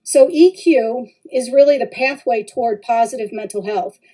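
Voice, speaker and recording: Woman podcaster, a bit high, mellow warm tone, steady explainer. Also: normal speed, low-quality recording. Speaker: trauma healing facilitator. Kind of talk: podcast talk.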